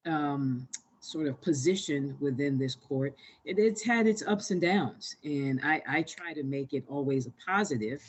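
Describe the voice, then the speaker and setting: A panelist speaking reflectively and haltingly in a discussion. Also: mid-range voice, breathy edge.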